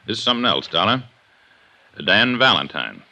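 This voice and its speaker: Veteran inspector in vintage radio drama: terse, deliberate, very hard-edged tone, lively inflection. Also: male voice, on the low side, full character voice. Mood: stern, pointed. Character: veteran police inspector, older, terse and pointed. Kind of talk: 1950s radio drama acting.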